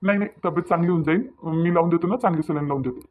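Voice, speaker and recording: A man's voice: on the high side, racing, narrow, tinny audio, slight background noise, natural voice. A man voicing a reassuring village doctor in a cartoon, folksy and repetitive, with a Varhadi Marathi accent. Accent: Varhadi, Vidarbha Marathi. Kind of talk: cartoon dialogue.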